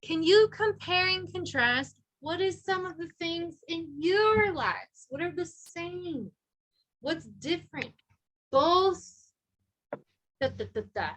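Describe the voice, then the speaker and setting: A woman's voice: extremely high pitch, animated, takes it slowly, a bit bright, rough recording. A woman teaching an adult English class, patient and encouraging, who models her words carefully for her learners.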